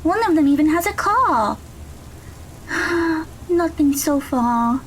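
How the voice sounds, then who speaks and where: A woman's voice: high voice, lively intonation, takes it slowly, low-quality recording. A woman playing a concerned, loyal teenage girl in an audio drama. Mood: worried, guilty.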